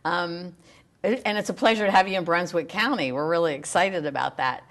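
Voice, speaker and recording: A woman's voice: fairly low pitch, normal speed, a bit bright. A woman hosting a local TV health program, interviewing guests in an upbeat, encouraging way. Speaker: TV health show host.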